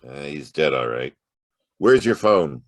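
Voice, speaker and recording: A tough wry detective, real deep voice, swings around a lot, noir radio play style. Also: male voice, very animated, measured pace, full character voice. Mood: urgent. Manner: terse, wry, slangy tough talk. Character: hard-boiled, wry private detective narrating his case. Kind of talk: noir audio drama acting and narration.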